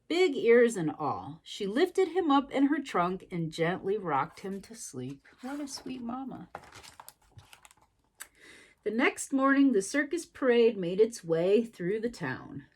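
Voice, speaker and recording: A woman reading a storybook to young children expressively, adding sympathetic little asides. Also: fairly high pitch.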